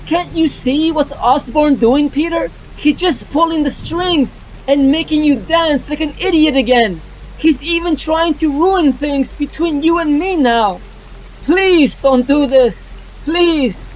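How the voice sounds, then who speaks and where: A performer voicing a sharp-tongued, protective young woman in a fan dub of a motion comic. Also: high voice, slow pace, a little hiss or hum, pitched above the performer's own voice, poor audio. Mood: pleading. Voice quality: sharp, pleading.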